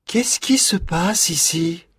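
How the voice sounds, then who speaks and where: A woman voicing a brave young prince, an enchanted nutcracker, in a storybook reading, with a low, slow, solemn delivery. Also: expressive, a bit bright, slightly rough, no room sound, full character voice.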